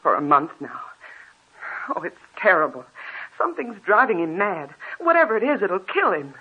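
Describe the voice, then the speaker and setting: An actress playing an anxious young wife in a 1940s radio drama, earnest and pleading. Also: female voice, lowish voice, very animated, moderate pace, breathy edge, heavily acted. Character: anxious young married woman, devoted wife. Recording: muffled old radio recording.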